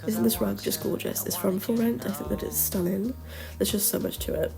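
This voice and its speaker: A woman's voice: medium pitch, big pitch swings, a little fast, creaky. A woman with a nasal voice from a cold, rambling chattily with many ums through a casual voice-over over a game build. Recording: music playing behind the voice.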